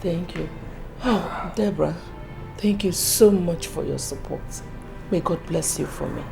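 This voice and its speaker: A tender mother calming her daughter. Her low, gravelly voice moves slowly and softly over clear background music. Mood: soothing. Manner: soft, soothing. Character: comforting older mother.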